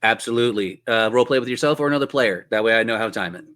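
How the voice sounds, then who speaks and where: A man's voice: mid-range voice, very fast, fairly bright tone, clean tone. A man running a tabletop role-playing game as game master, telling the story in a descriptive, theatrical way.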